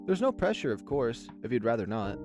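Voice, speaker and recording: A man's voice: mid-range voice, big pitch swings, fairly quick, over music, close to an everyday voice. A man voicing a friendly, playful, obliging young bartender in a visual novel. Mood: encouraging.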